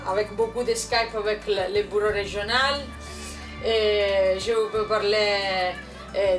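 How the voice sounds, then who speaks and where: Italian-accented woman, distant, music behind. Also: mid-range voice. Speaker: colleague giving a farewell tribute. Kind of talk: recorded testimonial.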